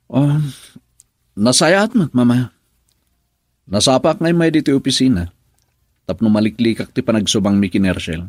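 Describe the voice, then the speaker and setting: A man's voice: medium pitch, moderate pace, long pauses, dry recording, clean studio sound, close to an everyday voice. A man playing a troubled, dutiful husband, brooding and earnest, in a Filipino radio drama in Ilocano. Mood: polite, eager. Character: troubled husband, dutiful son-in-law running the family business.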